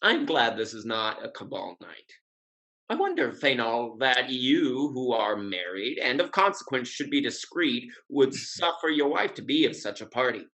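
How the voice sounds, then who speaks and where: A man playing a witty, urbane gentleman playboy in a Restoration comedy, speaking wry, elaborate period phrases in an articulate way. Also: mid-range voice, sing-song, moderate pace, low-quality recording. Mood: wry, fond. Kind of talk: Restoration comedy play reading.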